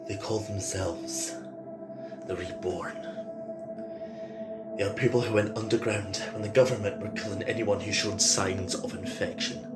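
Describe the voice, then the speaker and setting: Guy acting tired defensive survivor man, audio drama, halting n slow, roomy echo. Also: fairly low pitch, steady tone, lightly acted. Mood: sombre, earnest. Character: defensive, weary male survivor explaining himself to friends. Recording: roomy echo with music behind.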